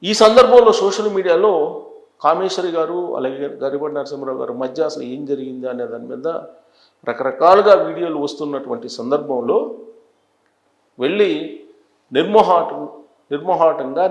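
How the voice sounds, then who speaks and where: A man gives unscripted news commentary as a presenter, deliberate and emphatic, repeating phrases for stress. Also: fairly high pitch, gravelly. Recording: echoey, roomy sound.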